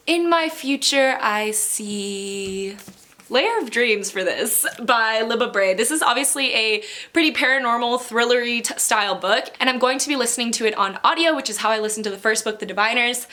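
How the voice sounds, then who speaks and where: A woman with a fairly high, very bright voice talks casually to her viewers like a book vlogger. She is excitable and gushing, leaning on words and repeating them for emphasis. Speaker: book vlogger, channel host. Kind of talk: casual chatty vlog to camera.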